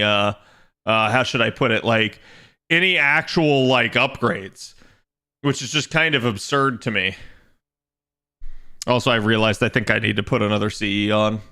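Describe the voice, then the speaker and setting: A young man chatting casually on his game stream, rambling and restarting as he thinks aloud, with a slightly raspy voice today. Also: mid-range voice, pushed and bright. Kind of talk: casual livestream chat.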